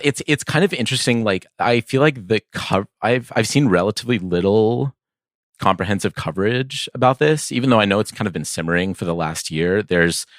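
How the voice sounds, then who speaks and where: A man's voice: low voice, fairly bright tone, dry recording, studio quality. A man co-hosting a podcast, chatting casually about sports in a thoughtful, conversational, slightly hedging way. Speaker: podcast co-host.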